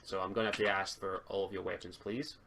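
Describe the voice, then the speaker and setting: A man's voice: sits low, little pitch movement, quick pace, vocal fry, fairly bright tone, slight background noise, pitched below the performer's own voice. Man playing a polite, insistent police officer. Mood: apologetic, firm. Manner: polite, insistent, repeating himself. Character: apologetic but firm male police officer.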